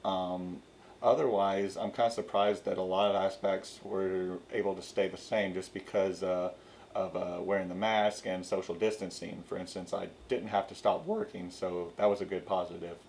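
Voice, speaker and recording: Deep young man, hesitant, noisy room tone. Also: little pitch movement, moderate pace, darkish tone. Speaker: student. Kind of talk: casual interview answer.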